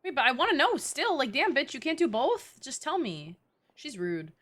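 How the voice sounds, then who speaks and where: A young woman streaming a game, giving casual, slangy and expressive reactive commentary. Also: sits high, normal speed.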